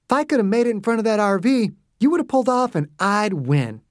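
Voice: mid-range voice, big pitch swings, fast talker, dry recording, studio quality, pitched above the performer's own voice, lightly acted. A narrator voicing a cheeky, carefree young female daredevil who teases and pleads with her father in an audiobook story. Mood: breezy defiance. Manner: teasing, pleading with her dad.

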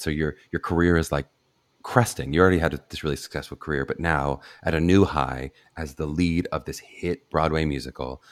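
A man's voice: rumbling low, no room sound. A young adult man hosting a podcast, effusive and enthusiastic as he praises his guest. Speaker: podcast host, college audition coach. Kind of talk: casual podcast interview.

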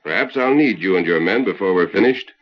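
Masked cowboy hero, firm and formal, very animated pitch, muffled old radio sound. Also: male voice, fairly quick. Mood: firm, defiant. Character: resolute masked cowboy hero, calm, commanding and principled. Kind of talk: old-time radio western acting.

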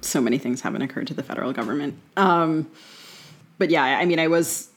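A middle-aged woman co-hosting a podcast, interviewing conversationally in a measured, wry, wordy manner. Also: low-pitched, husky. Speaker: podcast co-host.

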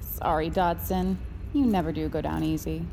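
An actress playing a calculating, controlling corporate scientist boss in an audio drama, composed, authoritative and guarded. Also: female voice, deep voice, fairly even intonation, normal speed, gravelly, warm tone, slight background noise. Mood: coolly regretful.

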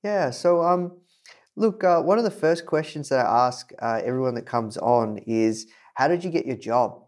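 A man hosting a business podcast, energetic in his intro and hesitant with many fillers when asking questions. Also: medium pitch, normal speed. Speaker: podcast host.

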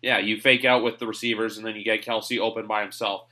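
A man's voice: on the low side, level tone, fast, pushed and bright. A man hosting a sports podcast in casual chat, rambling and opinionated. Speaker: podcast host.

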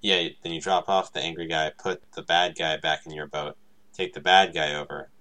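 A man's voice: a bass voice, flat delivery, normal speed, sharp tone. A man chatting and joking casually while playing a riddle game with friends. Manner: joking, laid-back explaining. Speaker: co-player. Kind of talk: casual chat over a game.

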